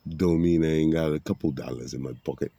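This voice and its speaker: A man with a very deep, gravelly voice delivers a rambling, preachy monologue. His tone is husky and rough around the edges, and he talks in a streetwise way. He keeps weaving biblical references into casual talk. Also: steady tone, fast, mellow, no room sound. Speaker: vlogger giving a monologue.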